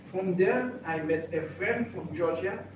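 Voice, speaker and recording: Older man, high-pitched, slow and halting, recorded far off in a very echoey, noisy room. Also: muffled recording. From Haiti. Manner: halting, repeats and restarts phrases. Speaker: real estate association president. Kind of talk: personal introduction speech. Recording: echoey room, mic far off, background noise.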